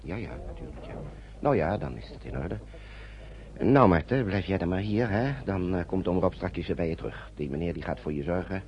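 Dull old radio drama, a low-voiced anxious uncle, kindly, careful, hesitant, reassuring. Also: male voice, animated, fairly quick, slight background noise. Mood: hesitant, uneasy. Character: anxious, kindly uncle shepherding refugee children. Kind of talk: radio play acting. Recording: dull old radio play, music behind.

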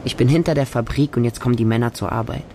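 A man's voice: mid-range voice, fast, warm tone, a little hiss or hum, close to an everyday voice. A man playing a small, wary homeless boy who narrates his hungry street life in short, simple sentences for a radio drama. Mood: quiet, wistful. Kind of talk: radio drama monologue in present tense.

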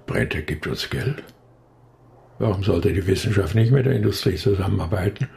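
An actor playing an injured, grieving male professor in a radio crime drama, talking haltingly but insistently in a weakened voice. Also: low-pitched, lively intonation, creaky, a little mellow. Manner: halting, hesitant, yet insistent. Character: injured male brain research professor, bereaved, weary, stubbornly convinced.

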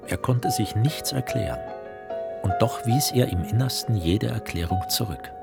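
A man narrates a literary radio drama in a lowish voice. His delivery is scripted, measured and serious, with music behind him. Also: expressive, vocal fry.

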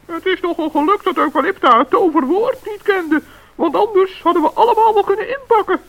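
An actor playing a kind-hearted little forest gnome in a radio play, with a very high, gravelly voice. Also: male voice, fairly quick, a bit bright, heavily acted. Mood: earnest. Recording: dull lo-fi radio play recording.

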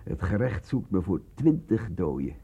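A very hoarse, cynical rogue of a man in a radio drama, boasting and mocking as he goes. His delivery is slow and theatrical, with wide swings in pitch. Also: very animated, mellow, full character voice. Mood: boastful, mocking. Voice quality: hoarse, gravelly. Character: cynical, boastful male bandit and sometime waiter, sickly. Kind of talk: radio play acting.

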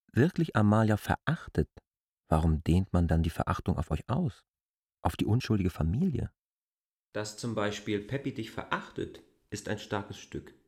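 A stubborn male outsider in a literary radio play. His voice is fairly low and recorded dry. He probes deliberately and logically, with understated indignation. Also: darkish tone, husky, no room sound. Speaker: radio drama actor.

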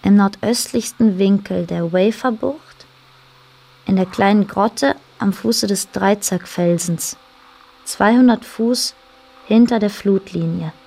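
A woman's voice: medium pitch, takes it slowly, creaky, a little mellow. A woman reading scripted literary narration as the storyteller of a radio play. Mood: measured storytelling. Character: female storyteller narrating island histories.

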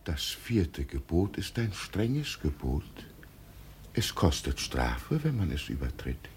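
Slow, grandiose deluded old emperor. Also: male voice, a bass voice, big pitch swings, exaggerated voice. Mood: solemn, gracious. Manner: solemn, grandiose, dreamy. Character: deluded poor old father who believes himself emperor. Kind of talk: German radio drama acting. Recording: noisy old radio play recording.

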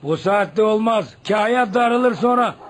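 A man's voice: high voice, lively intonation, slow pace. A man playing a brusque, mocking farm watchman with a drawling, rustic Balkan accent in a radio play. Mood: brusque, dismissive. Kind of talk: Turkish radio theatre acting. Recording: noisy old radio play recording.

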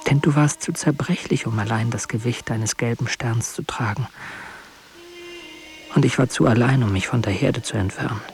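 An actor playing a tender, wounded lover in a poetic radio drama, speaking in hushed, intimate, halting phrases. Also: male voice, mid-range voice, vocal fry, lightly acted. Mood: tender, melancholy. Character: tender, wounded lover addressing his beloved Sarah.

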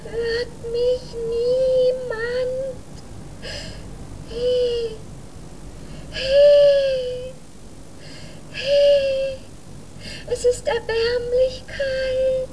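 Tiny, very high-pitched pleading child. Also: female voice, little pitch movement, background noise, full character voice. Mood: plaintive, desperate. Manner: slow, plaintive wailing. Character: freezing, pleading child trapped inside an egg. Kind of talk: children's radio drama acting.

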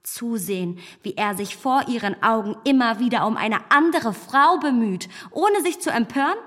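Indignant young gentlewoman, high-voiced, reverberant. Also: lively intonation, moderate pace. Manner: eloquent, emphatic, formal. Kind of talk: radio drama acting of a literary classic.

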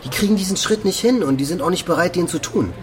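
An actor playing a frank German expatriate manager, talking informally and a little haltingly in a radio drama. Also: male voice, sits high, lively intonation, faint music behind. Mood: frank, resigned. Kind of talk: conversational documentary radio drama.

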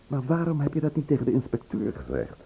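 A man's voice: mid-range voice, huge pitch swings, fast talker, gravelly, warm tone, breathy edge, heavily acted, rough recording. An actor playing a worried, insistent man pressing for the truth in a radio play. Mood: worried, pleading. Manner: probing, insistent.

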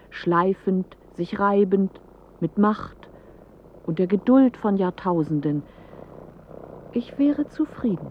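An actress playing a clever, dreamy woman who recites a poetic radio play monologue in measured, declamatory phrases. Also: mid-range voice, dull audio, slight background noise. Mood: solemn, musing. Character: clever woman musing in riddles, dreamy storyteller of images.

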